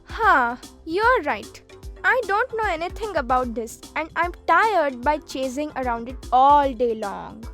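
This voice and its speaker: A woman voicing an eager, boastful young boy with get-rich schemes in an animated children's story. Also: high-pitched, unhurried, soft background music, full character voice. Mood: dejected. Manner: excitable, boastful.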